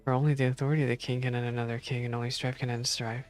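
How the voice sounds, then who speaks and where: A man's voice: mid-range voice, flat delivery, very fast, soft background music. A man streaming a game, reading story text aloud and reacting casually as he goes.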